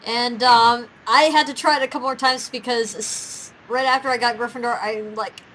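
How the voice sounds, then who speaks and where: A woman's voice: on the high side, steady tone, normal speed, fairly bright tone, a little hiss or hum, low-quality recording. A young woman hosting a casual chat, talking in a giggly, rambling way. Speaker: host of a fan gaming and quiz show.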